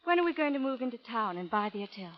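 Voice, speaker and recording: High-voiced, anxious frontier wife. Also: female voice, big pitch swings, fast. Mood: fretful. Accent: American. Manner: earnest, plaintive. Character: genteel, anxious young frontier wife, pleading. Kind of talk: 1930s-40s radio drama acting. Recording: noisy, dull vintage radio recording.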